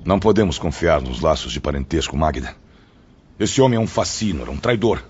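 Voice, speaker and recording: An actor playing a protective, distrustful and resolute military husband in a melodramatic audio drama. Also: male voice, extremely low pitch, animated, fairly quick, darkish tone. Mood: urgent, resolute. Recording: dull, noisy lo-fi audio.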